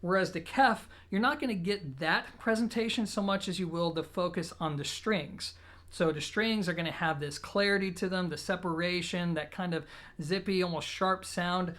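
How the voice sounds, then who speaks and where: Man explaining in a steady, relaxed voice. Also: high voice, normal speed. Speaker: audio equipment reviewer. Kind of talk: scripted product review.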